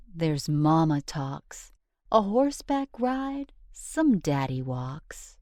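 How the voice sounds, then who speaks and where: A woman reading a rhyming children's book aloud in an expressive, sing-song storyteller's delivery. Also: on the low side, no room sound, clean studio sound.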